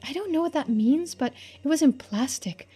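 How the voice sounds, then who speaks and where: A woman's voice: high-pitched, animated, normal speed, dark tone, faint music behind, pitched above the performer's own voice. A woman voicing an earnest, urgent young woman from a story game, blunt and rambling as she talks.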